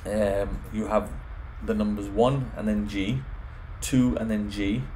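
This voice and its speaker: A man's voice: on the low side, fairly even intonation, slow, warm tone, a little hiss or hum. A man presenting an informal home automation tutorial, explaining things step by step. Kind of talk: informal software screen walkthrough.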